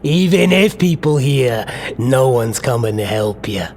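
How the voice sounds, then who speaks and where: A man's voice: medium pitch, lively intonation, measured pace, darkish tone, slight background noise. An actor playing a sadistic male gang thug who mocks and threatens his captive with gleeful menace, in an audio drama. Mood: taunting.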